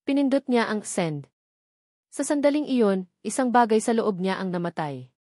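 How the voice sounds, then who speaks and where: A woman's voice: mid-range voice, darkish tone, no room sound, clean studio sound. A woman storyteller giving a scripted dramatic narration in an emotive, solemn way.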